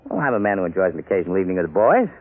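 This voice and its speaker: Husky, evasive impatient husband. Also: male voice, sits low, very animated, rapid-fire, dry recording, exaggerated voice. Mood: hesitant, eager. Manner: hesitant, evasive, testy. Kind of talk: old-time radio drama acting. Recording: tinny phone-like old radio recording.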